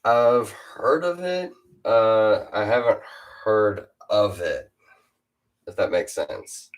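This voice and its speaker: A teenage boy with a very deep voice, hosting a casual chat with a fellow rapper and hesitating often. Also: lively intonation, takes it slowly. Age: teens. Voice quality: super deep voice. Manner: hesitant, lots of uh and restarts. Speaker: host interviewing a fellow rapper.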